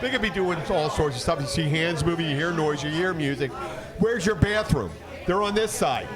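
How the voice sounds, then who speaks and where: A man casually telling rambling, profane stories as a podcast host and bartender, acting out conversations in an animated way. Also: fairly high pitch, moderate pace. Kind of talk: casual bar banter and storytelling. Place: in a busy bar. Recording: bar chatter in the background.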